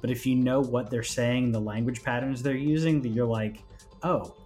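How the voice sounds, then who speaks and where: A man's voice: medium pitch, steady tone, normal speed, darkish tone, faint music behind. A man co-hosting a gaming news show in a loose, friendly, informal conversational way. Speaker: gaming news podcast co-host. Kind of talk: casual chat.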